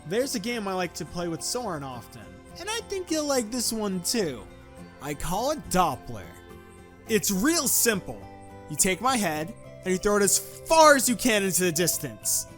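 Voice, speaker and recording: A man's voice: high-pitched, a bit bright, full character voice. A man voicing a wisecracking, impatient skeleton in an audio drama, grumbling and sarcastic in a folksy way. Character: wisecracking, impatient male skeleton, talking head. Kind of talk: audio drama character acting.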